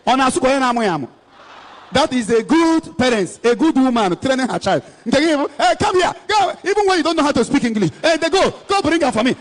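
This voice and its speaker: A Nigerian man in his thirties, an evangelist exhorting an outdoor crowd and switching between Igbo and English into a handheld mic. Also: very high-pitched. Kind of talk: preaching at an outdoor church rally. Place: outdoor stage before a large crowd. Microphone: handheld microphone.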